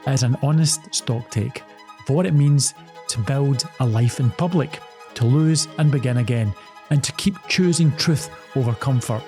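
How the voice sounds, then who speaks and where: A man's voice: medium pitch, moderate pace, a little mellow, soft background music. A man, an actor and presenter, interviewing a guest on a podcast, encouraging her and often repeating himself as he goes. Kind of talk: podcast interview, scripted intro then casual chat.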